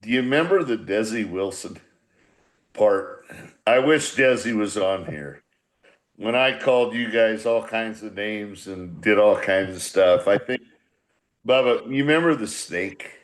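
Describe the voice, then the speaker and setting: A man's voice: lowish voice, a little slow. An older man in casual conversation, leading a group chat in a blunt, salty, rambling manner. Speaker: former baseball manager leading a reunion podcast.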